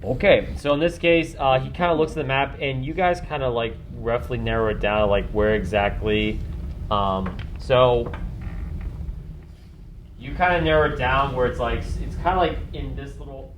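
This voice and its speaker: A man narrating a tabletop role-playing game as game master in a halting, informal, conversational way. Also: mid-range voice, fairly even intonation, a little hiss or hum.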